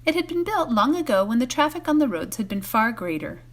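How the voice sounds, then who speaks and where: A woman reading a novel aloud as a bedtime story, in an expressive narrating style. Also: on the high side, brisk. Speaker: volunteer story reader.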